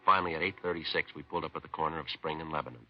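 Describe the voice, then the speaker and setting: A muffled 1950s radio recording of a hard-boiled American police detective. His voice is extremely deep and he talks fast. He is terse, deadpan and matter-of-fact, wasting no words. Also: slight background noise. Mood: flat, matter-of-fact. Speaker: lead actor and narrator. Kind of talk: 1950s radio police drama acting and narration.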